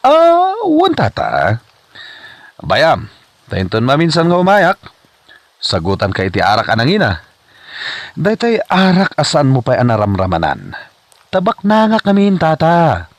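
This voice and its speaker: A man's voice: fairly high pitch, huge pitch swings, measured pace, long pauses, no room sound, heavily acted. A man playing an eager, nervous and boastful suitor in an Ilocano comedy drama, with an Ilocano accent. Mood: nervous, polite.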